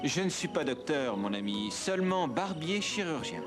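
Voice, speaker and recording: Earnest young cartoon surgeon man, kind, explains stuff, swingy highish voice, music behind. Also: very animated, moderate pace. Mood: modest. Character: Ambroise Paré, earnest, kindly, inventive young barber-surgeon. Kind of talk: animated cartoon dialogue, French dub.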